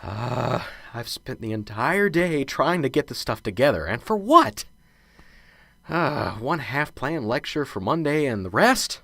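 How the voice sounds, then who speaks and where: Man acting a nervous, animated young professor. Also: medium pitch, measured pace, vocal fry, no room sound, exaggerated voice. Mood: stressed, fretful. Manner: fretful, rambling self-talk. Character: nervous, unsure young male professor, self-doubting. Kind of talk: radio drama acting.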